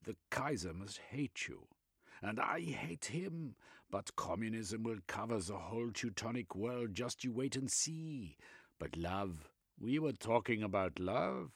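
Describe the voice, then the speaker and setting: A man voicing a flamboyant, pushy middle-aged German doctor with a German accent, excitable and lecturing. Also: fairly low pitch, a little slow, vocal fry, slightly rough, dry recording, clean studio sound, voice pushed up, heavily acted.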